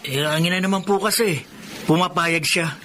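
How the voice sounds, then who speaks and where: A resentful young nephew in a Filipino radio drama. He sounds respectful yet aggrieved, with a somewhat high, bright voice and faint noise. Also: normal speed.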